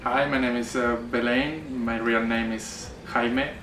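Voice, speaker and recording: A man's voice: medium pitch, fairly even intonation, unhurried, noisy background. A man introducing himself hesitantly. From Spain. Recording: roomy, distant mic. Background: room ambience.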